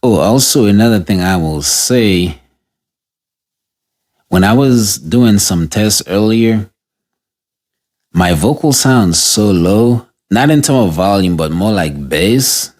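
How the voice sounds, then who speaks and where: A man with a deep voice giving a casual, unscripted product review, talking through the gear as he tests it and restarting sentences as he goes. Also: darkish tone. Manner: unscripted, halting, restarts mid-sentence while testing gear.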